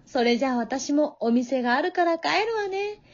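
A vain, coquettish pheasant madam voiced by a woman in a comedy radio drama. She speaks in a high, womanly voice with gentle condescension. The sound is a little dull. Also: animated, moderate pace. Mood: motherly, charming. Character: proud, coquettish female pheasant, a worldly bar hostess. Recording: dull lo-fi audio.

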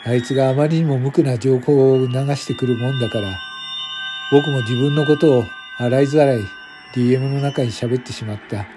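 A man's voice: mid-range voice, brisk, over music. A man giving scripted first-person narration for a radio drama in a brooding, solemn manner.

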